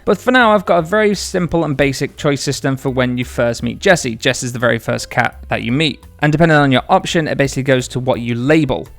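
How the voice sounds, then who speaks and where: Fast-talking young British man with creaky voice. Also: fairly high pitch. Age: young adult. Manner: informal, explanatory. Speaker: game developer and video host. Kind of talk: devlog narration to viewers. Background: background music.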